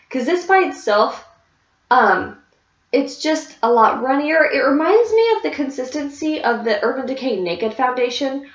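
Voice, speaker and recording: Congested woman chatting in an echoey room. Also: on the high side. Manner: rambling, many fillers and restarts. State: congested, sniffly. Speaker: beauty vlogger. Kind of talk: casual product review chat. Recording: echoey room, dull lo-fi sound.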